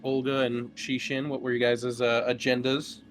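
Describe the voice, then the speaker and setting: A man's voice: mid-range voice, level tone, moderate pace. A man chatting casually as the game master of a tabletop game. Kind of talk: casual chat. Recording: faint background noise.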